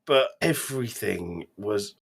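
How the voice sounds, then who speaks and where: British man, lowish voice, sarcastic podcast host. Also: lively intonation, slow, creaky, fairly bright tone, slightly rough. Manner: sweary, sarcastic film critique with mocking quotes. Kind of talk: casual chat.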